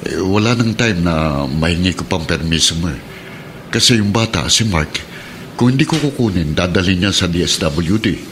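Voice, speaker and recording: An actor playing a kind, patient police sergeant and husband in a Filipino radio drama, earnest and pleading in manner. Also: male voice, deep voice, animated, moderate pace, a bit bright, slight background noise.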